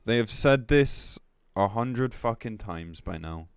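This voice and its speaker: A man's voice: lowish voice, lively intonation, slow pace, clear, resonant tone, dry recording, studio quality. A man live-streaming in a casual, blunt, exasperated way, commenting between bits of reading aloud and swearing freely.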